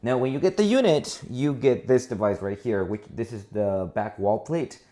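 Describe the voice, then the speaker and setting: A man's voice: medium pitch, expressive, moderate pace, warm tone. A man working as an installer gives a casual, unscripted product walkthrough, hesitating and often correcting himself as he repeats words. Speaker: installer presenting a product demonstration. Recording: noisy, with clicks and handling clatter.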